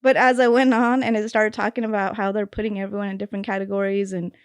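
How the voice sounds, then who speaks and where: A woman talking as a guest on a casual podcast, thinking aloud and hesitating with lots of fillers. Her voice is smooth and clear at a middle pitch. The sound is dry, with little room echo. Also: little pitch movement, fast. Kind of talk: casual conversation.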